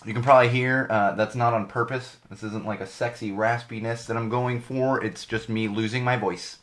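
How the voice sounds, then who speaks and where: A man in his thirties hosts a podcast live, his voice a little hoarse and worn. He talks in a loose, rambling way, circling back and correcting himself mid-sentence. His pitch sits in an ordinary middle range. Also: steady tone, moderate pace, fairly bright tone. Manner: chatty, rambling, self-correcting. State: slightly hoarse, losing his voice. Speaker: podcast host. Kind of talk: live podcast monologue with plugs.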